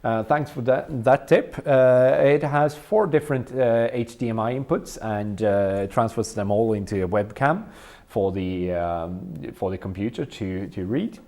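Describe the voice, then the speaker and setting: A man's voice: fairly low pitch, a little mellow. Swedish guy showing off his gear, lots of ums and uhs, middle-aged, casual. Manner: halting, many ums and uhs. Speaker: event organizer showing equipment. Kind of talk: casual walkthrough tour.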